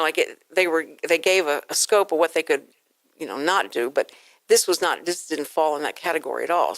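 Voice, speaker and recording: Lower-pitched woman hosting casual talk. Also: dry recording. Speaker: talk show host. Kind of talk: casual talk show chat.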